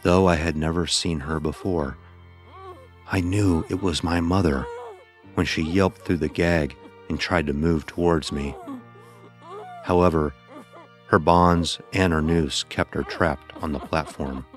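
A low-voiced man tells a dark first-person story over faint music. His delivery is grave, measured and dramatic, as in an audio horror tale. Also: steady tone. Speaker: narrator.

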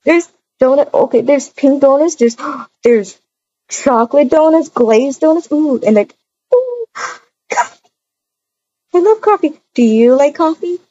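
High, bright-toned-down woman, unhurried roleplay chatter. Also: lively intonation, no room sound, highs cut off. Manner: chatty, narrates own actions aloud. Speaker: roleplay video creator. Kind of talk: improvised voiced roleplay in a video game.

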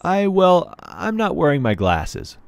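A man's voice: a little high, wildly swooping pitch, slow, gravelly, dry recording, voice pushed up, full character voice. A man voicing a shy, polite little boy ghost who speaks hesitantly in a storybook.

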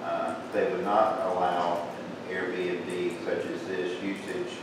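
A man with a deep voice speaks at a board meeting. The room is very echoey and noisy, and he is picked up from a distance. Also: flat delivery, slow. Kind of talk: questions and reading aloud at a public meeting.

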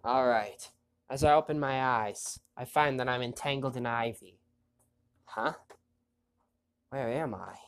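Male let's-play host, stuffy nose, casual commentary, quietly reads game text. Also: measured pace, pauses often, clear, resonant tone. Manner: relaxed, chatty, reads story text in a quiet low voice. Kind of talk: casual gameplay commentary, reading on-screen text aloud.

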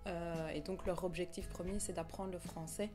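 A woman teacher explains calmly in a low voice. Music plays clearly beneath her. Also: moderate pace. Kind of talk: documentary interview.

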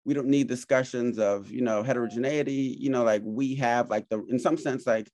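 A man's voice: medium pitch, steady tone, fairly quick, darkish tone, no echo. A middle-aged man from Jamaica, moderating an academic talk over a video call, thoughtful and full of fillers. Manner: thoughtful, many fillers and hedges. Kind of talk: academic conversation. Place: home office.

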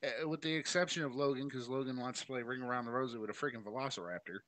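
A man co-hosting a casual comedy podcast, talking in an animated, rambling way and laughing often. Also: mid-range voice, quick pace, no room sound. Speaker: podcast co-host.

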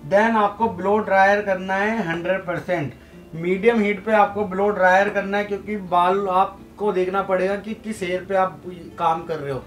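Young man, high voice, chatty tutorial instructor, faint music behind. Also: fairly even intonation, moderate pace, rough recording. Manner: chatty, repeats "guys" and fillers. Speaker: hair treatment instructor. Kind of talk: casual tutorial explanation. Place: indoor studio with blue lights.

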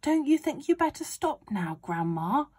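A woman's voice: very high-pitched, very animated, unhurried, voice pushed up, heavily acted. A woman voicing an earnest young boy in a children's story, insistent and calling out. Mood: pleading. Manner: calling out loudly, protesting.

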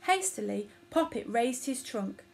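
A woman's voice: a little high, lively intonation, measured pace, creaky, faint music behind. A woman in her thirties narrating a children's story expressively. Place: small living room or bedroom, indoors.

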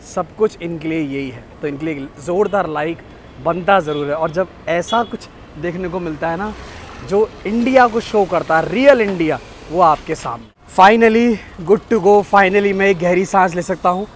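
A man's voice: high-pitched, moderate pace, a little hiss or hum. Chatty young man vlogging to camera. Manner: chatty, keeps pointing things out to viewers, mixes in English phrases. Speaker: travel vlogger. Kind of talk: casual travel vlog to camera. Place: bus depot outdoors, then inside a sleeper bus. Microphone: phone or action camera at arm's length.

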